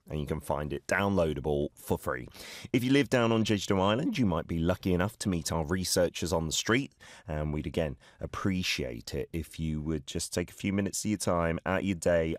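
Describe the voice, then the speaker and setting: Man, radio host, very low voice, dry studio sound, upbeat chatty delivery. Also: dry recording. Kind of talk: radio show chat. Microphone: studio mic.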